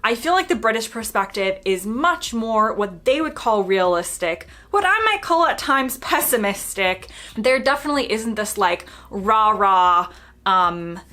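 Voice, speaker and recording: A woman's voice: mid-range voice, very animated, a little slow, bright, forceful tone. A young American woman from Florida, chatting casually and upbeat to camera in her vlog. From United States (Florida). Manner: chatty, upbeat, lots of fillers. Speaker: vlogger.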